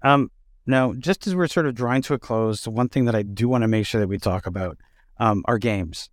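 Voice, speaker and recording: A man hosting a relaxed, conversational interview, informal and affirming, feeling out his questions as he talks. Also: on the low side, brisk, no echo, studio quality. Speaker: interview host.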